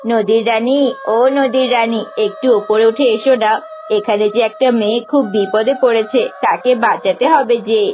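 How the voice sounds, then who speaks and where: A woman's voice: a little high, normal speed, background noise, natural voice. Woman voicing a caring river plant spirit. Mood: calling. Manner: urgent, caring. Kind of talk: Bengali cartoon dubbing.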